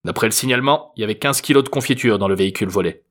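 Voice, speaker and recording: A man's voice: lowish voice, very fast, fairly bright tone, close to an everyday voice. A man voicing a jaded, suspicious policeman in an audiobook story, with a curt, weary manner. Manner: curt, would-be firm, weary.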